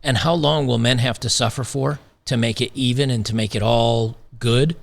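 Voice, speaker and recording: Male commentary host, gravelly, slightly worn voice, emphatic and sarcastic. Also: mid-range voice, fairly even intonation, normal speed. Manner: emphatic, repeats phrases, sarcastic. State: slightly losing his voice. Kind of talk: opinionated commentary.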